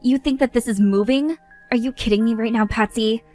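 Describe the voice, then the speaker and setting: Woman as indignant bride, scolding, firm, soft music behind. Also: on the high side, a little fast, lightly acted. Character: exasperated young bride, stern and fed up.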